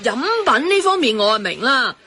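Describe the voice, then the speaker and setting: A woman's voice: fairly high pitch, very animated, brisk, pushed and bright, slight background noise, exaggerated voice. A woman acting a sensible, practical restaurant colleague in a Hong Kong Cantonese comedic radio drama. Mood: curious.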